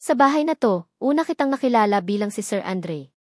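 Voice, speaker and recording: A woman's voice: mid-range voice, lively intonation, fairly quick, darkish tone, dry recording, clean studio sound, close to an everyday voice. A woman narrator voicing a devoted, earnest young millionaire in a romance story, making romantic declarations. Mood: emotional, confessing. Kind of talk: audio romance story dialogue.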